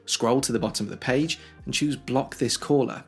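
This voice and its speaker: A man's voice: mid-range voice, fairly even intonation, moderate pace, slightly rough, soft background music. A man hosting a tech tutorial, explaining things step by step in a conversational way. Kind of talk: instructional how-to narration for video.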